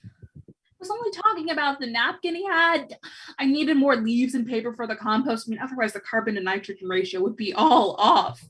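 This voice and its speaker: Woman playing a high-pitched, anxious young co-op worker. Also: brisk, fairly bright tone, slightly rough. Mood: panicky, defensive. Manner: stammering, overwrought. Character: anxious, guilt-ridden young co-op worker, earnest and dramatic. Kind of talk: comedy table read acting.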